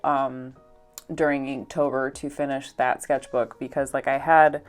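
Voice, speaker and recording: A young woman with a congested, stuffed-up voice, chatting casually in a rambling way with many fillers and little laughs. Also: sits low. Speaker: art vlogger. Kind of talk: casual voice-over while painting.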